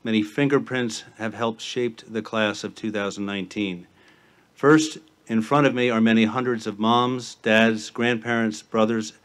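A middle-aged man, a school headmaster, giving a formal commencement address at a podium outdoors, speaking in measured, rhythmic lists. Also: on the low side, a bit bright. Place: outdoor stage at a campus ceremony. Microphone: podium microphone with PA.

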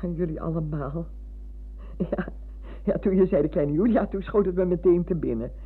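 An actress playing a warm, chatty older former schoolteacher in a Dutch radio play, full of exclamations. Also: female voice, fairly low pitch, moderate pace, slightly rough. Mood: delighted, reminiscing. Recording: muffled lo-fi old radio recording.

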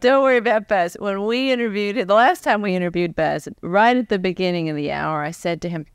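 An American woman in her forties hosts an interview with a crisp, resonant voice at a medium pitch. She is chatty and animated in how she talks. The recording is close and very dry. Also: a little fast, dry recording. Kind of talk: conversational live interviewing. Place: on stage before a live audience. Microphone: large microphone on a stand, close.

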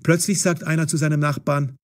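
A man narrating a scripted story for language learners, articulating clearly and expressively. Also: fairly high pitch, flat delivery, brisk.

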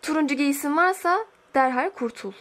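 A woman voicing a haughty, spoiled teenage high school diva in an amateur film dub, bossy and affected. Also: moderate pace, a bit bright. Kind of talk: amateur fan dub acting.

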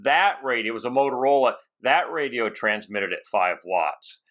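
A man in his fifties hosting a casual technical interview on a headset from a home office, haltingly thinking aloud. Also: mid-range voice, expressive, fairly bright tone. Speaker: podcast host. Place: home office with bookshelves, on a video call.

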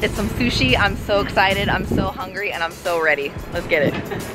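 A woman's voice: on the low side, normal speed, rough recording. A woman in her thirties vlogging to a handheld camera, chatty and enthusiastic as she talks through her food and gym day. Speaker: fitness vlogger. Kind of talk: casual vlog to camera. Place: home kitchen, gym and restaurant. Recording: music playing underneath.

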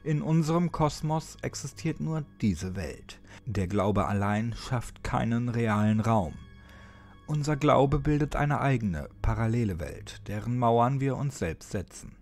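Expressive male horror narrator over music. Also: mid-range voice. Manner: ominous direct address with dramatic pauses, dropping at times into near-whispers. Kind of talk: dramatic scripted horror audiobook narration.

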